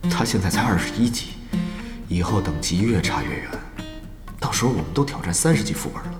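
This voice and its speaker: An actor playing an anxious online gamer in an audio drama, muttering in exasperation and pleading with others. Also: male voice, fairly low pitch, lively intonation, fairly quick, music in the background. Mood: exasperated.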